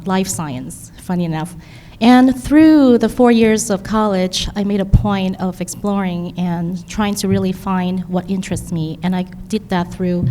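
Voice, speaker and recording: A woman in her thirties, originally from Hong Kong, introducing herself in a measured, reflective way. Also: lowish voice, warm tone. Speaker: panelist. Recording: hall reverb, faint noise.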